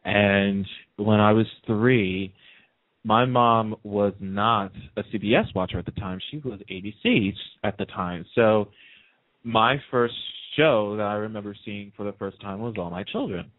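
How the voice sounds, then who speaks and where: A man's voice: lowish voice, a little slow, no echo. A man chatting casually as a guest in a radio interview, telling stories in a talkative way. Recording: narrow, tinny phone-line sound.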